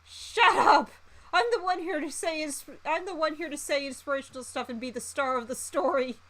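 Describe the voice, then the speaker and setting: A woman acts out a brash, vain rival chef in a visual novel, desperate to be the star. The voice is very high, bright and loud, shrill with boasting. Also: normal speed. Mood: indignant.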